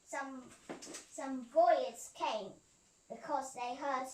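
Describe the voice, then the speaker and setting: Young female storyteller, slow and halting, distant roomy recording with faint noise. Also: high voice. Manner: halting, repeats and restarts phrases. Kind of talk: storytelling for a puppet show.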